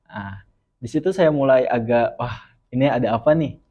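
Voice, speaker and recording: A young man from West Java, explaining earnestly and at a measured pace as a student guest on a podcast. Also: mid-range voice, muffled recording. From West Java, Indonesia. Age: young adult. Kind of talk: podcast interview.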